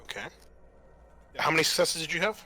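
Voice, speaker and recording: A man narrating an improvised tabletop game as game master, halting and often pausing mid-sentence. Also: a little high, lively intonation, bright, forceful tone.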